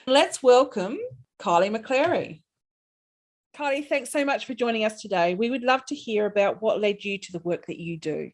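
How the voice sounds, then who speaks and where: A woman's voice: medium pitch, expressive, normal speed. A woman hosting a podcast. She reads a guest introduction, then chats in an affirming way. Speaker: podcast host.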